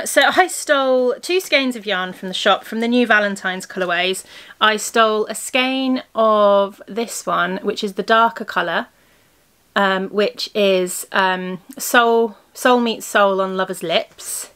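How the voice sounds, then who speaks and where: Hoarse woman chatting about her knitting. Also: medium pitch, pushed and bright. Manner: chatty and rambling, showing her knitting to the camera. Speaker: crafting podcast host and yarn shop owner. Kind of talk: casual video podcast chat.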